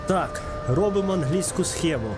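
Guy streaming a racing game, chatty, cusses, music loud behind him. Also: high voice, lively intonation, normal speed. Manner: chatty, swears, talks to chat by name. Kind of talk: casual live-stream chat while racing. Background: game music and engine sounds.

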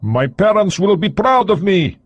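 Man putting on a young Soviet agent, sneering, quavering, slow deliberate delivery, raised pitch, husky. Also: big pitch swings, no room sound, lo-fi sound, full character voice. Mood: defiant. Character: young male Soviet agent, frightened but defiant.

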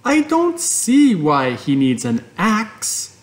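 A man voicing an eight-year-old farm girl who pleads in a shrill, outraged voice. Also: huge pitch swings, slow, full character voice. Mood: puzzled.

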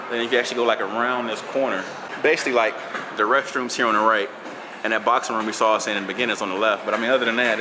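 A young man filming a casual vlog in a large gym, teasing his friends and joking about their workout. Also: medium pitch. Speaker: vlogger. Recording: loud gym noise.

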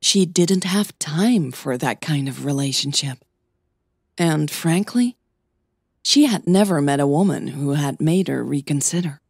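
A woman's voice: deep voice, animated, unhurried, gravelly, darkish tone, breathy edge, dry recording, clean studio sound. A woman reading scripted fiction as the narrator of an audio drama podcast.

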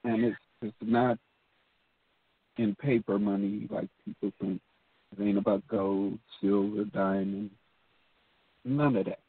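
A man's voice: fairly low pitch, steady tone, takes it slowly, pauses often, no echo. A man co-hosting a casual talk show, speaking bluntly and emphatically with a lot of profanity. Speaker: co-host. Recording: tinny phone line.

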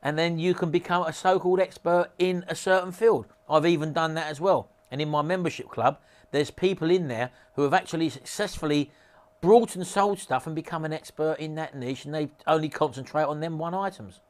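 Male, 40s, informal presenter selling a course, slightly higher-pitched voice, encouraging and unpolished plain talk, camera a few feet away. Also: a little fast. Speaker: presenter promoting an auction reselling course. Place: small cluttered home office.

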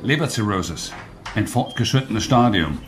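An actor playing a dry, melancholy British commander in a German radio drama. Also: male voice, on the low side, animated, moderate pace, noisy background, natural voice. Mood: dry, composed.

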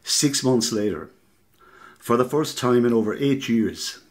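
A man's voice: medium pitch, lively intonation, unhurried. An Irish man reading scripted narration for a radio play. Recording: roomy, distant mic, background noise.

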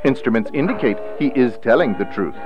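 A man's voice: mid-range voice, animated, brisk, highs cut off, soft background music, close to an everyday voice. A man acting in a radio play as a formal, earnest salesman-technician who speaks precisely. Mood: clinical. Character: formal, earnest male salesman-technician, secretly a time investigator.

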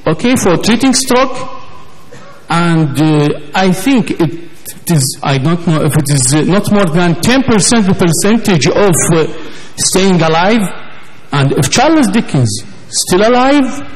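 A man's voice: high voice, very animated, slow pace. A man from Iraq, not an English speaker, asking a hesitant question from the floor of a large conference hall. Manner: hesitant, many fillers and restarts. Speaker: audience member asking a question.

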